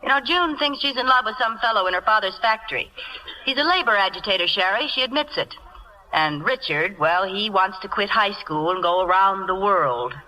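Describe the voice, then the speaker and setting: An actress playing a wry, brisk and capable secretary with a dry, familiar manner in a 1940s radio comedy. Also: female voice, medium pitch, expressive, pushed and bright, low-quality recording. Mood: brisk, concerned. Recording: vintage radio broadcast recording.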